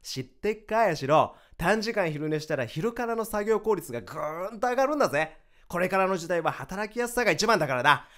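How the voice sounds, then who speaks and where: Radio drama, exuberant, chatty male startup co-founder; high bright voice, friendly casual banter. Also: very animated, brisk. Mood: excited, cheerful. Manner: exuberant, chatty, swinging from cheers to concern. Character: excitable, upbeat male vice president of a new startup.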